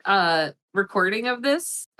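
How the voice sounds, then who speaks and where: A woman's voice: mid-range voice, lively intonation, unhurried, pushed and bright. A woman plays along as a contestant on a comedy podcast. She trades dry, wry quips with the others. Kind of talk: casual comedy podcast chat.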